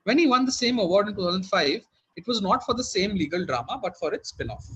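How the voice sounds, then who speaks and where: A man's voice: high voice, animated, fast talker, fairly bright tone, lo-fi sound, slight background noise. A man working as quiz master, reading formally worded questions to contestants and giving brief verdicts.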